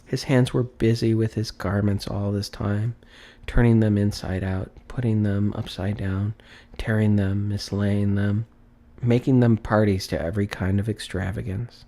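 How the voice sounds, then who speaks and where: Male audiobook narrator, theatrical and expressive, unhurried delivery with gravelly fry, scripted classic storytelling. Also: fairly low pitch. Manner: theatrical, deliberate, savouring words.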